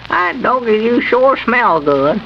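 An old radio recording, dull and full of noise, carries a fussy old country storekeeper. His voice is high and creaky, with a rural Southern drawl and an amiable, folksy manner. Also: big pitch swings, takes it slowly, heavily acted. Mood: amused, chatty. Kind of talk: 1930s radio comedy serial acting.

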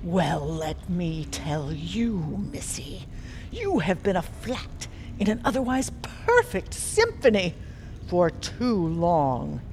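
A haughty, volatile queen, as a woman acts her out, speaks slowly and sweeps her high voice up and down. Her tone turns quickly from scorn to rage. There is steady noise behind her. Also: full character voice. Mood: scornful. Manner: haughty, scornful, explosive outbursts. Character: imperious, cruel, volatile female queen.

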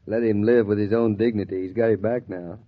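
An actor playing an affectionate young American husband in a 1940s radio drama. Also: male voice, lowish voice, steady tone, brisk, natural voice. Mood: gentle, admiring. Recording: muffled 1940s radio transcription.

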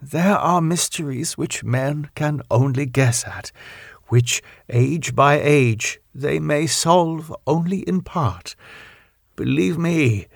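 An elderly foreign doctor of solemn, stern temper, as a male narrator plays him in a classic storybook reading. He speaks slowly and gravely with a gravelly creak, pleading with weight, and the sound is close and dry. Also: medium pitch, dry recording. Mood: solemn, cryptic.

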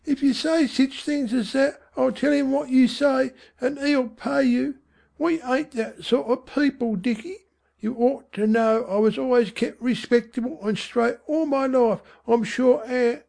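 Woman reader doing a tired whiny mum, voice pushed up high, faltering and doleful, storybook. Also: a little slow, gravelly, a little mellow, heavily acted. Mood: faltering reproach. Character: weary, listless slum mother, feebly clinging to respectability. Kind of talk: audiobook character voice.

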